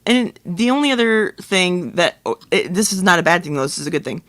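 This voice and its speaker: A man's voice: high voice, animated, a little fast, fairly bright tone, no room sound. A middle-aged man co-hosting a podcast in casual, conversational chat. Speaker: podcast co-host.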